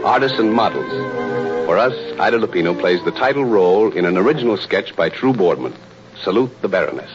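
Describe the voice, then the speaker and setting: A man's voice: on the low side, animated, fairly quick, dull audio. A man presenting from a script in 1930s radio host style, on an old recording. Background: music.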